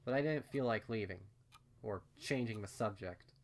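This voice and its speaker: A man's voice: on the low side, normal speed. A man reading fan fiction aloud as a first-person story narration.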